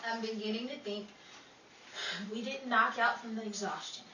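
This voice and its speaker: A woman's voice: on the high side, steady tone, moderate pace, pushed and bright. A woman playing a sarcastic, worn-out showgirl who wisecracks in a 1930s radio comedy. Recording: noisy, echoey live hall, far mic.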